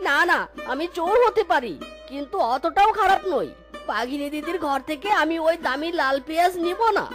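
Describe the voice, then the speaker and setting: A woman's voice: high-pitched, expressive, normal speed. Woman doing a cheeky male cartoon fox. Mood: soft-hearted. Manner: playful, singsong, mixes in English words. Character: sly male fox thief, cheeky and flirtatious. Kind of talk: cartoon voice acting. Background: loud cartoon music.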